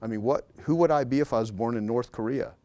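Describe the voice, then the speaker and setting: A man's voice: medium pitch, fast talker, darkish tone, no room sound. A man speaking as a guest in a casual interview, talking emphatically and repeating key words to drive a point home.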